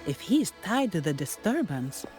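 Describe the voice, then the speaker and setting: An actress playing a calm, courteous, analytical investigator in a video game, speaking in a measured, formal way. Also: female voice, fairly low pitch, faint music behind. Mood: calm, considering. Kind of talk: video game character acting.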